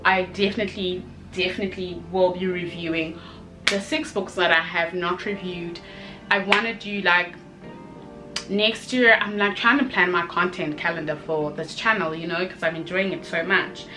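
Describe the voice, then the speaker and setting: A young woman chatting casually in a book review video, expressive and given to emphatic repetition. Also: fairly low pitch, normal speed, music in the background, low-quality recording.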